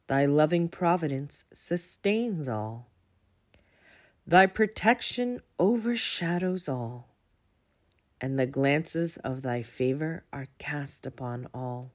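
A young woman reading aloud and reflecting for children in a deliberate, carefully enunciated way. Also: deep voice, a little mellow. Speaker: children's story reader and presenter. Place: indoors in a home room with windows.

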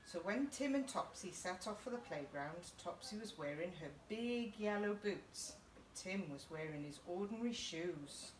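A woman's voice: lowish voice, animated, moderate pace. Middle-aged British female storyteller for small children, child-directed questions, roomy distant sound with faint noise. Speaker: story time host reading to children. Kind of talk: children's picture book read-aloud. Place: small indoor youth group playroom. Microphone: camera a couple of metres away. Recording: echoey room, distant mic, faint background noise.

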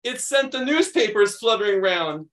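American man, middle-aged, slightly high voice, children's story reader, expressive, chatty explanatory asides. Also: normal speed. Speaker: children's read-aloud host. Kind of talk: picture-book read-aloud with chatty asides.

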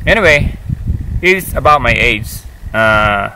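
A man in his fifties speaks with a bright, forceful tone and a middling pitch. He talks casually to a camera set up in front of him outdoors in a forest, pausing often to restart his sentences and fill gaps with "uh" and "um". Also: animated, slight background noise, low-quality recording. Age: 50s. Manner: halting, many fillers and restarts. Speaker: hiking vlogger. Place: forest, outdoors, seated on a rock.

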